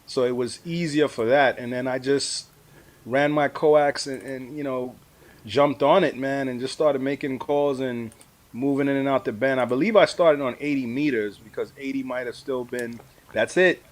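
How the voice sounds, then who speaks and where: A man's voice: mid-range voice, fairly even intonation, normal speed. A middle-aged or older man, a radio hobbyist guest, telling his story in a casual, colloquial way. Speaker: amateur radio operator, award-winning guest. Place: live video stream.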